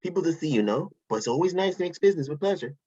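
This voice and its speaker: A man's voice: fairly high pitch, animated, rapid-fire, dark tone, breathy edge, muffled recording. A man reading a smug, playful male billionaire villain in a breezy, sing-song way.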